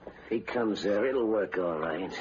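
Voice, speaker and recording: An actor in a vintage radio drama playing a tough, menacing gang boss, curt, slangy and commanding. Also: male voice, fairly low pitch, measured pace, natural voice. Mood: bossy, commanding. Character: tough, bossy male gangster leader, menacing. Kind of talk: 1930s-40s radio drama acting. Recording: muffled, noisy vintage radio recording.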